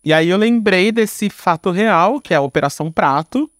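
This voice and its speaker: A man's voice: sits high, animated, normal speed, fairly bright tone, dry recording, clean studio sound. A man with a Brazilian accent chatting casually as an interview guest, informal and slangy, often adding little tag questions. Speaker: podcast guest, screenwriter. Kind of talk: casual conversational interview answers.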